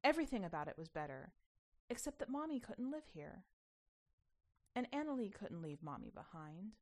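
A woman narrates a short story in a medium-pitched voice. Her delivery is expressive and emphatic, with a sense of childlike wonder in the phrasing. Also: normal speed. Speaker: podcast host reading a short story.

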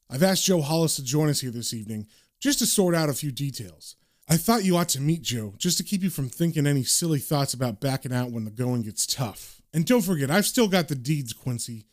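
Guy reading as a shady brit property boss, cool and condescending, low-key threats, comic story. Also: expressive, fairly quick, darkish tone, close to an everyday voice. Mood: threatening. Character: cold, nonchalant, threatening crooked British property seller.

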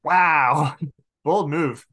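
A man's voice: fairly high pitch, extremely slow, pushed and bright. Emphatic man as a casual podcast guest. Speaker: podcast guest, game master of an audio drama. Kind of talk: casual interview talk.

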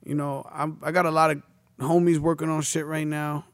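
A young man in his twenties chats loosely as a rapper guest, dropping street slang and filler phrases as he goes. His voice sits a touch higher than most men's. The sound is close and dry. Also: level tone, normal speed, no room sound. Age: 20s. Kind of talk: casual interview chat.

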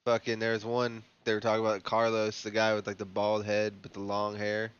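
A young man chats from home over a voice call, his low voice smooth and easy. He is laid-back, tossing off dry wisecracks and casual swearing, through dull lo-fi call audio. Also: completely flat delivery, a little fast, no echo. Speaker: podcast co-host. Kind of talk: casual chat.

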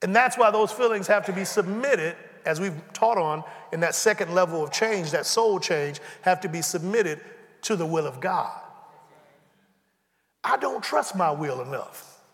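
A man's voice: high voice, lively intonation, measured pace, fairly bright tone, in a large hall. A man, preaching a sermon in a conversational way and acting out dialogue with humorous asides. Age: 40s. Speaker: pastor. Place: church sanctuary.

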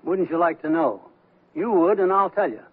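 Blunt corporate scold, tinny radio sound. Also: male voice, on the high side, animated, moderate pace. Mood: brisk, reproachful. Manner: blunt, lecturing, sardonic. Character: blunt, relentless male business consultant scolding executives. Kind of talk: radio comedy acting. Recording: tinny band-limited old radio broadcast.

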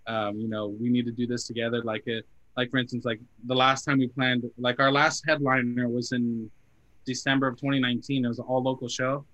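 A man's voice: medium pitch, level tone, brisk, a bit bright, rough recording. A man in his twenties, a band member chatting casually on a podcast, full of "like" fillers and retold quotes. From Phoenix area, US. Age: 20s.

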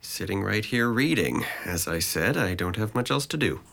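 A man's voice: lowish voice, expressive, moderate pace, creaky, a bit bright, exaggerated voice. A man playing a depressed, sardonic twenty-one-year-old in an audio drama, with a dry, articulate delivery. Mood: dry, defensive. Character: sardonic, depressed young man, resentful and withdrawn.

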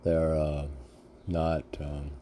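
A man reading poetry aloud with halting, musing, informal commentary, often answering his own questions. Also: a bass voice, flat delivery, dark tone, a little hiss or hum. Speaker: reader and commentator of mystic poetry.

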